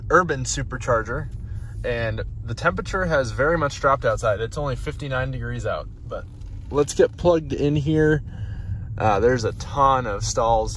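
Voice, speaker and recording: Young American man, noisy on-location vlog. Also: medium pitch. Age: young adult. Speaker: vlogger. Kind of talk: casual road-trip vlog narration. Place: in a car and outdoor parking lots. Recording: noisy car and outdoor ambience.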